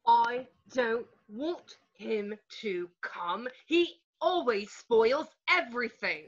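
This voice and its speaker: A woman's voice: fairly high pitch, expressive, takes it slowly. A woman playing a spoiled, whiny young boy in an amateur table read, on a muffled home recording. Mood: sulky.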